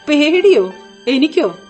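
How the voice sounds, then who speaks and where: High-voiced, haughty young wife. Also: female voice, darkish tone, full character voice. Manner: coquettish, haughty, drops in English phrases. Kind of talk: Malayalam radio play acting.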